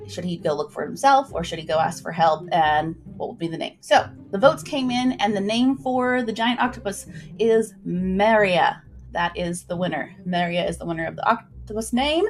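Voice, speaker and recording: A woman's voice: medium pitch, normal speed, faint music behind. A woman hosting a casual livestream, talking informally in a chatty, folksy way as she introduces and recaps a story.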